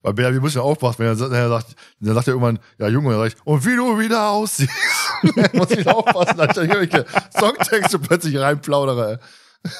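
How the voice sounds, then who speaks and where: A man's voice: on the high side, lively intonation, fast talker. A man hosting a podcast in casual chat, joking and often chuckling as he talks. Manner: jokey, chuckles often while talking. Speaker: podcast host moderating the questions.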